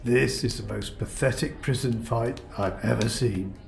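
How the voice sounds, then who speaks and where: An actor playing an unimpressed, laconic prison guard in an audio drama, deadpan in manner. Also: male voice, mid-range voice, a little slow, vocal fry, roomy sound.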